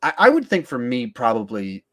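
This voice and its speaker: A man's voice: medium pitch, huge pitch swings, moderate pace. A man hosting a podcast, chatting casually about films in an emphatic way, often thinking aloud. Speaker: podcast host. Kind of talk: casual film discussion.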